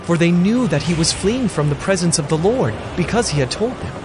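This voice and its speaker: A man's voice: high-pitched, expressive, fairly quick, noisy background. A man narrating a dramatized audio Bible as a scripted, dramatic story.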